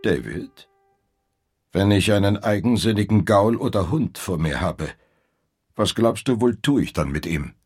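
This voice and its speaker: A stern Victorian stepfather in a radio play, with a deep, very husky voice. He is cold and controlled, commanding with quiet menace, and his delivery swings widely in pitch. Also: animated, measured pace, faint music behind, heavily acted. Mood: menacing. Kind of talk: German radio drama acting.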